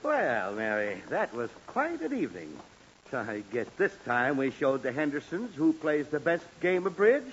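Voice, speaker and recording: Blustering, exasperated dad on old hissy radio. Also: male voice, on the high side, very animated, moderate pace, lo-fi sound. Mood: flustered. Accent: American. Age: middle-aged. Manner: grumbling, sputtering, sarcastic. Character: exasperated, blustering middle-aged suburban father. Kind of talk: old-time radio comedy acting.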